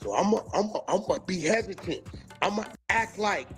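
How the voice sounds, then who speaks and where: A man's voice: high voice, animated, a little fast, fairly bright tone, faint music behind. An American man in a slangy, emphatic monologue, calling people out in a confrontational way.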